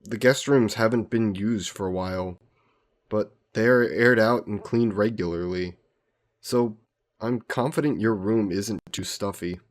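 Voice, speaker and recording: A man acts out an ancient vampire nobleman with a low voice. He is courtly and protective, with a gently teasing streak, and phrases everything formally, as in a romantic audio drama. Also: natural voice. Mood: polite, reflective. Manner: formal, genteel, measured phrasing. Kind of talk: scripted romantic audio roleplay.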